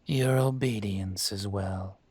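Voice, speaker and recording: A man playing a suave, menacing dragon mafia boss in an audio roleplay, measured and condescending with a teasing edge. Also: fairly low pitch, darkish tone, breathy edge. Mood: coaxing, controlling. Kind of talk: scripted audio roleplay, intimate.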